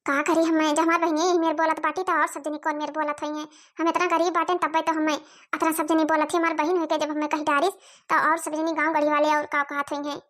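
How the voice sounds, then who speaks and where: A poor village elder sister in a cartoon comedy, played by a woman, with an Awadhi accent. Her voice is extremely high and bright, and she pleads and explains in a plaintive, humble way. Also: little pitch movement, quick pace. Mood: hurt, defensive. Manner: apologetic, plaintive. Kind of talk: comic cartoon dialogue.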